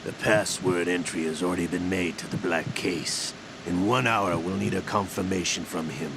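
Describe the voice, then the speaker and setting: An actor playing a composed older villainous intelligence officer in a video game, gravelly, measured and deliberate in speech. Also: male voice, sits low, steady tone. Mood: measured, businesslike. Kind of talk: video game cutscene acting. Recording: noisy vehicle-like ambience behind.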